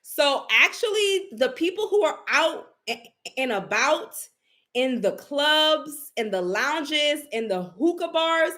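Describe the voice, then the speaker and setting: A woman's voice: high-pitched, very animated, slow pace, pushed and bright. A woman giving a casual psychic tarot reading, brash and profane, with a sassy, emphatic way of talking. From Haitian family. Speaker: psychic reader.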